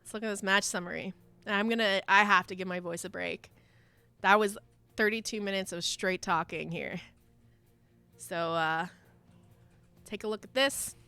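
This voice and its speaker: A woman esports caster giving live play-by-play game commentary with an excitable, urgent delivery. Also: medium pitch, normal speed, pauses often, smooth, no room sound, faint music behind.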